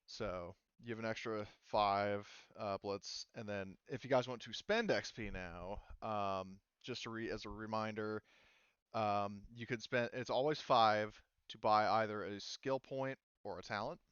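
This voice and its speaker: A man acting as game master, casually explaining tabletop game rules in a halting way with repeated words. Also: on the low side, steady tone, a bit bright, smooth, no room sound, dull audio.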